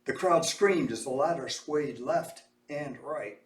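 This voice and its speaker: A man reading a children's story aloud with animated, emphatic, dramatic delivery. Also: fairly high pitch, takes it slowly. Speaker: storybook reader. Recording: echoey room, distant mic.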